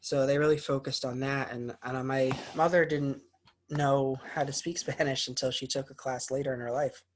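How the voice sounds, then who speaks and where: A young American man, a college student, speaking informally and a little hesitantly as he shares in an online class. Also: mid-range voice. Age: young adult. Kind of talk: informal spoken class presentation. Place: online class video call.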